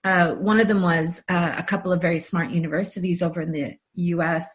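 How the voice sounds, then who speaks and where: A woman's voice: fairly low pitch, fairly even intonation, a little fast. A woman hosting a podcast interview, talking in an enthusiastic, reflective way. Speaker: podcast host. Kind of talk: conversational interview.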